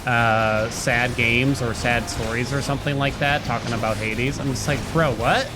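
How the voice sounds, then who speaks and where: A man's voice: mid-range voice, fairly even intonation, normal speed. A man in his thirties giving casual, slangy live commentary while streaming a video game. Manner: informal, slangy, swearing. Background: game music under the voice.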